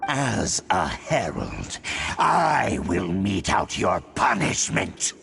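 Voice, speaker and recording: Deep growling game villain, gravelly, slow, wildly swooping delivery, music behind. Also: male voice, wildly swooping pitch, exaggerated voice. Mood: menacing. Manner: slow, ominous, theatrical pronouncements. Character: menacing male Abyss Herald, cold and threatening. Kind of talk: video game voice acting.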